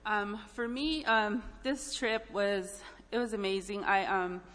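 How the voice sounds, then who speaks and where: A woman from El Salvador shares an informal testimony in church. Her medium-pitched voice is halting, earnest and full of feeling. It rings through a hall with long reverb. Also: steady tone, fairly bright tone. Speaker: church mission trip member giving a testimony. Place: large indoor church hall, speaking up front.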